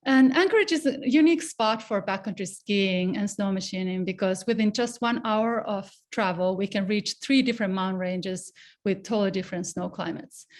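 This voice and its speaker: A woman's voice: medium pitch, a little mellow. A middle-aged woman from Finland with a Finnish accent, giving an online presentation in a casual, conversational way with fillers, over a computer mic. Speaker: guest speaker, avalanche researcher.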